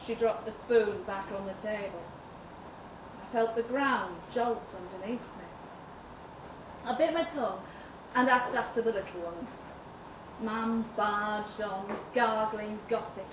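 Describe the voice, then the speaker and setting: A woman giving an expressive, measured first-person reading of a literary short story at a live event. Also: a little high. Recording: roomy live venue, distant mic, noisy.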